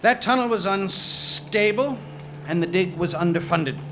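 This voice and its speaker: Man acting a pompous, highish-voiced gentleman archaeologist. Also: a little slow, slight background noise. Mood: evasive. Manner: pompous, arch, sardonic. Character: haughty, evasive gentleman archaeologist, scornful male rival named Stanley. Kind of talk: live macabre audio drama acting.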